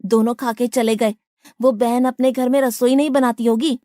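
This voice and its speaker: A high-voiced young boy devotee played by a woman from a cartoon moral tale. He is hungry, hot-headed and quick to complain, speaking bluntly to his elders. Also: fairly even intonation, mellow, no room sound, clean studio sound, close to an everyday voice. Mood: indignant. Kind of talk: animated moral-story acting.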